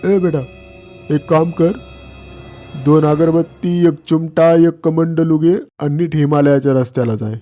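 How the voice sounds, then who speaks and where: An actor playing a cocky village friend in a comedy cartoon, brash, bragging and teasing. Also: male voice, fairly high pitch, fairly even intonation, measured pace, warm tone, faint music behind, natural voice. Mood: mocking. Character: cocky, boastful village friend who plays the fixer. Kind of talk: exaggerated comedy cartoon dialogue.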